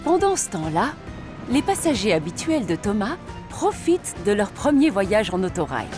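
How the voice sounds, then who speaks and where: A woman's voice: medium pitch, very animated, normal speed, music in the background. A woman narrating a children's cartoon in a scripted storybook style.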